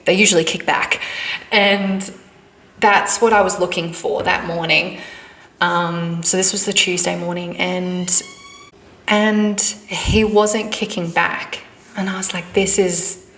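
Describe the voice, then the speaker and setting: A woman in her thirties hosting a podcast, telling a personal story in a halting, reflective way with many restarts. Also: medium pitch, fairly bright tone, roomy sound. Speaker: podcast host.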